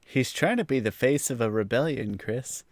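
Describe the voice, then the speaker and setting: A man's voice: mid-range voice, animated, normal speed, no room sound. A man co-hosting a podcast, giving emphatic opinions with wry asides in casual chat. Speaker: podcast co-host.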